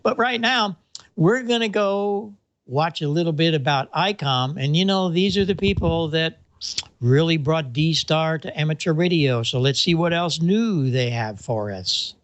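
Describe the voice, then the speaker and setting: A man's voice: on the high side, measured pace. A man hosting a show in casual chat, easygoing and joking. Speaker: show host.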